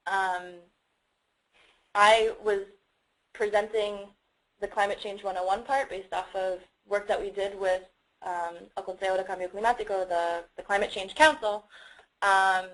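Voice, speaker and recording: A woman's voice: medium pitch, steady tone, a little slow, long pauses, fairly bright tone, poor audio. A woman speaking as a webinar panelist, giving conversational explanations with frequent hesitant ums. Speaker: panelist, climate workshop facilitator.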